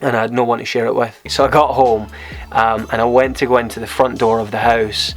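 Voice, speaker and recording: A man's voice: mid-range voice, level tone, brisk, soft background music. Young Scottish man telling a story. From Scotland. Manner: rambling, candid, many fillers and restarts. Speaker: vlogger. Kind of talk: casual storytelling to camera.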